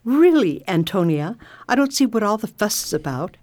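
A woman's voice: mid-range voice, big pitch swings, moderate pace, darkish tone, dry recording, natural voice. A woman playing a snobbish, indignant older sister in a radio drama, scolding and haughty. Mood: haughty disapproval.

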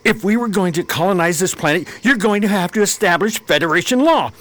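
Space expedition major, hot temper, commanding, fairly high voice, very animated, radio drama. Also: male voice, a little fast. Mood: angry, insistent. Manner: blunt, commanding.

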